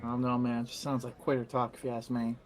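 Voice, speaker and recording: A man's voice: mid-range voice, flat delivery, fast. A man joking casually as he takes part in a tabletop roleplaying game.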